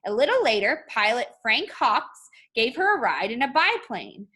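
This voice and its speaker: A woman teacher reading a picture book aloud to children in an expressive, instructive way. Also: on the high side, normal speed. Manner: expressive, instructive, addressing young students. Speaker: art teacher.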